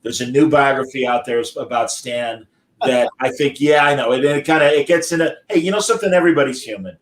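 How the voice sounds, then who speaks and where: A man hosting a live online interview over a video call, enthusiastic and quick to praise. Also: medium pitch. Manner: enthusiastic, effusive. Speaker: host. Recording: roomy echo.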